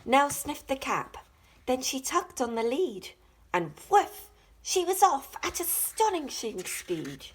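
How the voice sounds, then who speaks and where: A woman teacher reading a rhyming children's picture book in a dramatic, deliberate, expressive way. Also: high-pitched, slight background noise, rough recording.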